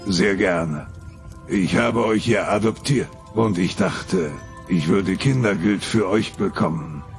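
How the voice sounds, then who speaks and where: A man voicing a scheming cat-man father in a comedy sketch, speaking in a dry, gravelly deadpan. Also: low-pitched, slow, soft background music, full character voice. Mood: matter-of-fact.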